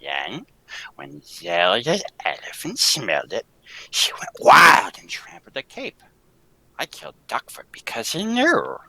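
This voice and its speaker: A man playing a murderous, vengeful circus woman in melodramatic 1940s radio serial style, swinging from hushed hisses to shrieks. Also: medium pitch, huge pitch swings, unhurried, vocal fry, no echo, pitched below the performer's own voice, full character voice, low-quality recording. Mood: bitter, gloating.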